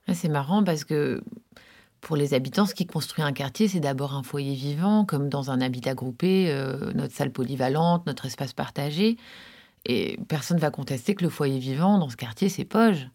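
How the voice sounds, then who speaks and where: A woman's voice: low voice, normal speed, dark tone. A woman narrating a podcast in a reflective, literary manner with conversational asides.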